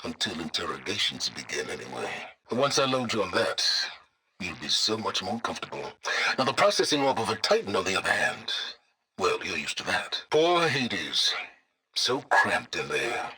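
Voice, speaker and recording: Aloof game-character intellectual, very raspy, low-pitched, formal, sardonic, calculating. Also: male voice, sing-song, sharp tone, full character voice, low-quality recording. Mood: sardonic, condescending. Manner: measured, formal, condescending, sardonic. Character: cold, calculating, aloof male scholar, middle-aged or older. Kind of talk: scripted video game voice acting.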